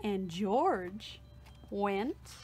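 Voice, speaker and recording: Slow, expressive woman reading a storybook. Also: medium pitch, slightly rough, soft background music. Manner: expressive, drawn-out repetitions. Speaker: storytime host. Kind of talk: reading a picture book aloud to children.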